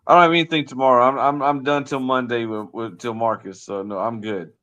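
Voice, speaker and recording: A man with a mid-pitched voice co-hosts a sports livestream. His talk is casual and unscripted, with rambling, hesitant phrasing. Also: steady tone, a little fast, sharp tone. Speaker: sports show co-host and interviewer.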